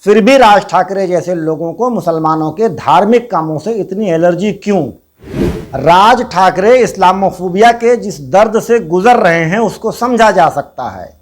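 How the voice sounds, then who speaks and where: High-pitched man, political commentary. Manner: rhetorical, pointed questioning, formal Urdu-laced wording. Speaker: political commentary channel host.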